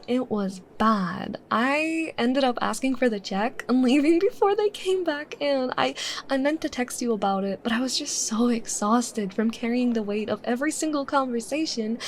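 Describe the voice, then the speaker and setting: High female voice, actress playing bubbly young friend, teasing, expressive, casual roleplay chat, audible background ambience. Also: a little hiss or hum. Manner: playful, giggly, expressive. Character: bubbly, teasing young woman chatting with her secret crush.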